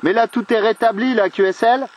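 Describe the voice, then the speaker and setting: A man's voice: high voice, fairly even intonation, a little fast, very noisy. A man chatting casually as an amateur radio operator, trading call signs and friendly remarks.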